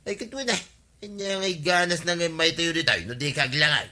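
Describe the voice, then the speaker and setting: An actor playing a pushy, jolly friend in an Ilocano radio comedy drama. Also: male voice, a little high, brisk, bright, forceful tone. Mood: insistent.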